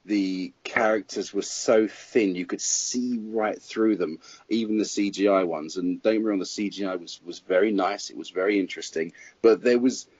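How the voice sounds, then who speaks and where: Male film critic, low husky voice, emphatic and opinionated, dry muffled podcast recording. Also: normal speed, darkish tone, dry recording. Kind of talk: casual podcast chat. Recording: dull lo-fi podcast audio.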